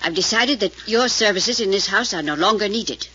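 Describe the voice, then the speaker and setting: A woman playing a stern, haughty old grandmother with a husky voice in an old-time radio mystery, giving clipped commands. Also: mid-range voice, moderate pace, pushed and bright, slight background noise, natural voice. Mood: cold, imperious. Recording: dull vintage radio recording.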